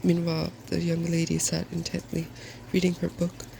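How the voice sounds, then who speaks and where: A soft-spoken man reading a fairy tale as an ASMR storyteller. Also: on the high side, monotone, moderate pace, creaky, noisy background.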